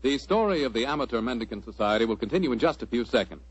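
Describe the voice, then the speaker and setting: Husky 1940s radio announcer, a man. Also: medium pitch, lively intonation, rapid-fire, no echo. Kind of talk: 1940s radio sponsor announcing and scripted narration. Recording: muffled vintage 1940s radio recording.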